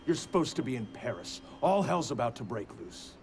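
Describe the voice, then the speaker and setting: A man's voice: medium pitch, big pitch swings, a little fast, a little mellow, a little hiss or hum. A man playing a guarded, calculating agency director in a video game, speaking in a cool, wary way. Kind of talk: video game cutscene acting.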